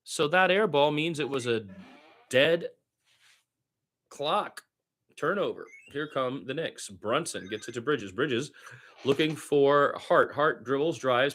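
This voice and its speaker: Man, bright forceful voice, amateur radio basketball commentary, clipped phrases, partisan, opinionated. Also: on the high side. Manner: short clipped phrases, opinionated asides, speaks as a partisan fan. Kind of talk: live radio-style play-by-play commentary with opinion.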